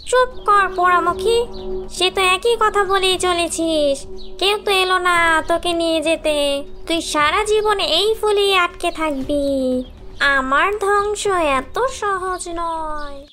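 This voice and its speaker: Cartoon witch: extremely high, piercing bright voice, wicked and taunting, theatrical cackler. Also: slow pace, full character voice. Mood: scornful. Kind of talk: animated fairy-tale cartoon acting.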